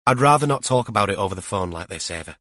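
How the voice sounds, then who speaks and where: Man as policewoman, detective inspector, calm, polite, professional, dry close audiobook sound. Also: fairly low pitch, fast talker, no room sound. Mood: calm, official.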